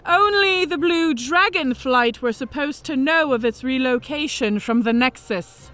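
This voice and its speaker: An actress playing a cunning, vengeful dragon mage disguised as a centurion, speaking in a theatrical, formally articulate way in a fantasy audio drama. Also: female voice, high voice, measured pace, a bit bright, soft background music. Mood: stern questioning.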